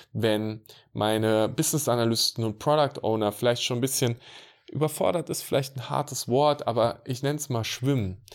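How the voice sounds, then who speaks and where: A man with an ordinary, mid-pitched voice talks in a relaxed, direct way, as if he were speaking to one listener. He chats about his work as a consultant in plain, informal language. His delivery stays conversational and unscripted. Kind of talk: casual monologue to the listener.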